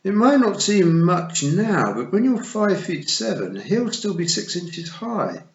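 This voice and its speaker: A man voicing a dry, unhurried male talking toad with a drawling, sardonic manner. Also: high-pitched, very animated, voice pushed up, heavily acted. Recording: echoey, slightly dull.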